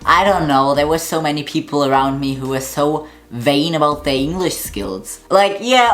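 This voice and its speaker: German-accented young woman, low voice, frank and chatty vlog delivery. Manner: candid, opinionated, chatty. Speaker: vlogger. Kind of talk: casual monologue to camera.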